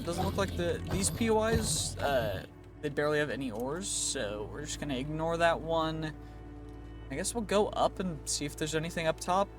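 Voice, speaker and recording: A man with a sniffly, stuffy nose giving casual, chatty gameplay commentary, often reassuring himself and playfully pleading for loot. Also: a little high. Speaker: gaming youtuber. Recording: clear music bed behind. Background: game music.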